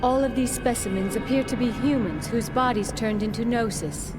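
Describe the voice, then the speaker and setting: A woman's voice: a little high, moderate pace, long reverb tail, over music, natural voice. A woman voicing a composed, precise, formal woman in a sci-fi video game.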